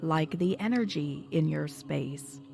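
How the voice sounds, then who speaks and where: A woman hypnotherapist leading a guided meditation in a soothing, measured, instructional manner. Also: low voice, vocal fry, mellow. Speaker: hypnotherapist guiding a session. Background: background music.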